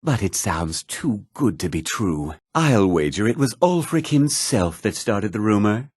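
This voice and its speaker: Husky, haughty fantasy townsman. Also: fairly low pitch, sing-song, unhurried, a little mellow, no room sound, studio quality, exaggerated voice. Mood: polite, wry. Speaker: video game voice actor. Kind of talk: scripted fantasy game character dialogue.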